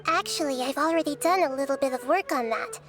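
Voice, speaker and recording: A woman voicing a small, wise, gentle child goddess in a video game, high and childlike, speaking thoughtfully and with care. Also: over music. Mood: serious, thoughtful. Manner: thoughtful, measured, slightly formal phrasing. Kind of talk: video game character dialogue.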